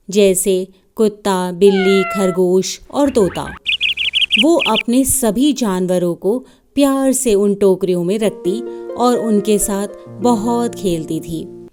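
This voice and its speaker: A woman's voice: medium pitch, slow, music in the background. A woman narrating a scripted children's story as a storyteller.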